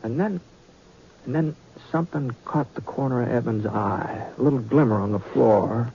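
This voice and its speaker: An actor playing a folksy western yarn-spinner, narrating with dramatic pauses in old-time radio style. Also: male voice, medium pitch, dark tone, a little hiss or hum, lightly acted. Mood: hushed wonder. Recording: dull vintage radio recording.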